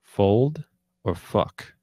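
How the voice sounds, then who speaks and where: A man's voice: fairly low pitch, lively intonation, drawn-out words, warm tone, no echo, clean studio sound, heavily acted. Crude, cocky poker boss played by a man. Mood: cool menace. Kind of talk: script table read acting.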